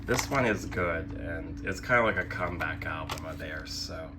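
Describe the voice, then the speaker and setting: A man's voice: extremely low pitch, normal speed, background noise. A young adult man giving a casual, rambling show-and-tell as a channel host, self-deprecating and sardonic, with casual swearing. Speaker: host of a physical media collecting channel.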